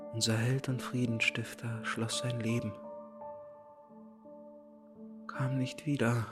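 An impassioned tragic chorus narrator played by a male actor declaims a hero's myth in a radio play. His mid-pitched voice moves between hushed and urgent delivery, repeating phrases obsessively, with music underneath. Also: close to an everyday voice. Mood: mournful, grave. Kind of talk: theatrical radio play recitation of Greek myth.

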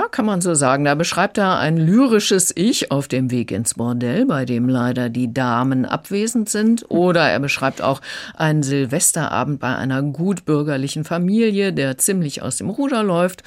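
A woman giving a conversational review as a critic in a radio discussion. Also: low-pitched.

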